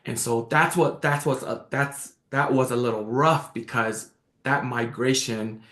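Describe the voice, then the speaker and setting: Excited man. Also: medium pitch, a little slow. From American Samoa. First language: Tongan. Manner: excited, with many restarts and repeated words. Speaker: guest. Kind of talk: casual interview chat. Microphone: video call. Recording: echoey room on a call.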